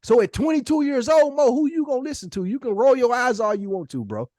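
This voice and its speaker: High-voiced forty-year-old man arguing bluntly. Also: animated, quick pace, a little mellow, dry recording, clean studio sound. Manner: blunt, slangy, heavy swearing; argues insistently with rhetorical questions. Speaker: podcast co-host. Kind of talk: heated casual podcast debate.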